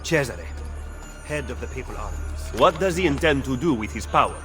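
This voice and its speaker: A man's voice: medium pitch, lively intonation, fairly bright tone, natural voice. A man playing a shrewd, cautious Renaissance political strategist in a video game, speaking formally. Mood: grave. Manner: measured, formal, admonishing. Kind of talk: dramatic video game acting. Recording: music behind the dialogue.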